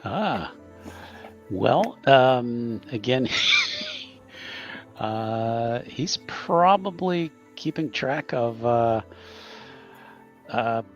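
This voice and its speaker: A man's voice: medium pitch, lively intonation, very slow, long pauses, faint music behind. A man chatting casually as a player in a tabletop game, hesitant and trailing off, on a dull microphone. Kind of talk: casual unscripted game chat. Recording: dull, band-limited microphone sound.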